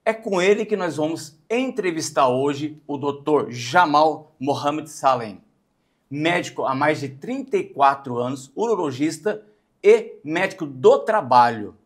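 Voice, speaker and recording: A man's voice: a little high, expressive, unhurried. A Brazilian man hosting a podcast in a studio, introducing and interviewing in a conversational style.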